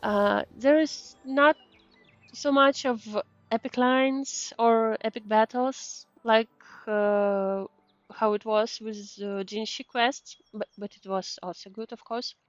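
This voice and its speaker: A woman streamer chatting casually over a game in non-native English. She speaks slowly and haltingly in a fairly high voice, searching for words. The sound is slightly dull, with soft game music behind her. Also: steady tone, no echo. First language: not English. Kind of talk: casual gameplay commentary, reading dialogue aloud.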